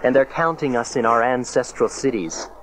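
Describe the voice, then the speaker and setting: A man playing a gentle, devout carpenter and protective husband in an audio Bible drama, reassuring with a touch of humor. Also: mid-range voice, normal speed, a little hiss or hum, natural voice, rough recording. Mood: grave, wry.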